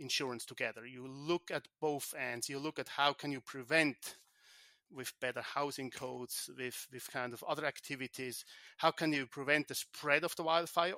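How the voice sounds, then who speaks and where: A man from Switzerland, an insurance tech founder, answering podcast questions in a halting way with many restarts and repeated words. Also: a little high, bright, forceful tone, no echo.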